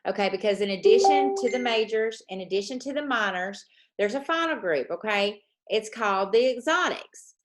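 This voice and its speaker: A woman teaching a trading lesson, dictating slowly and repeating points, often checking in with "okay?". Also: medium pitch, expressive. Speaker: forex trading coach.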